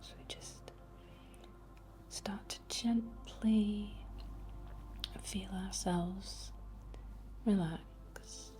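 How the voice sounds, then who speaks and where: A young woman whispering breathily and gently as she guides an ASMR meditation in an informal, unhurried way. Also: mid-range voice, steady tone, faint music behind. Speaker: ASMR host guiding a healing session.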